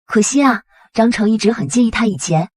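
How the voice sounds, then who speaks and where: A woman's voice: on the high side, animated, brisk, darkish tone, no echo, studio quality. A woman playing a shrewd, bitter and resolute businesswoman in an audio comic drama. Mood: cool.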